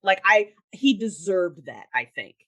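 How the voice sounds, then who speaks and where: A woman with a very lively, swooping delivery and mid-range pitch chats as a podcast guest. She is opinionated and emphatic, talking casually. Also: unhurried. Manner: opinionated, emphatic, acting out mock quotes. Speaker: podcast guest, audio drama creator. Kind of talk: casual chat.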